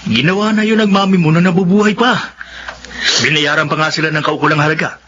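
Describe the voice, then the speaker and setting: A man's voice: fairly high pitch, fast, sharp tone, muffled recording, a little hiss or hum. An actor playing a blunt, skeptical young man in a radio drama, pushing back with pointed questions.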